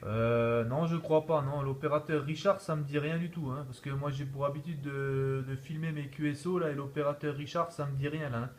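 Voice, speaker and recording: A man's voice: on the high side, fairly even intonation, fairly quick, few pauses. A man from northeast France chatting casually as an amateur radio operator, peppering his phrases with little verbal tags. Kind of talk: casual radio contact chat. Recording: faint background noise.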